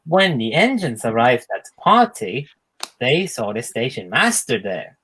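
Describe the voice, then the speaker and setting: A man's voice: mid-range voice, sing-song, unhurried, sharp tone, breathy edge. A man reading a children's storybook aloud as a narrator. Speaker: storybook reader.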